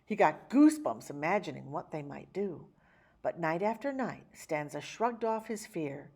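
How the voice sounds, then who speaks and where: A woman reading a rhyming children's picture book aloud, with theatrical, measured phrasing and dramatic emphasis. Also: sits low, expressive, creaky, darkish tone, breathy edge. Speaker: children's story reader. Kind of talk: expressive picture-book read-aloud in rhyme.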